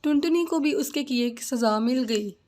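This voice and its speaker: A woman's voice: high voice, moderate pace, darkish tone. A woman narrating a scripted children's cartoon story.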